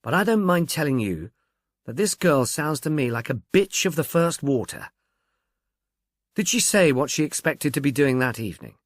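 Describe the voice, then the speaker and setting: A man voicing a brisk, businesslike police inspector in an audiobook, with a dispassionate, official, probing manner. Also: on the high side, very animated, no echo, clean studio sound. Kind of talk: audiobook character dialogue.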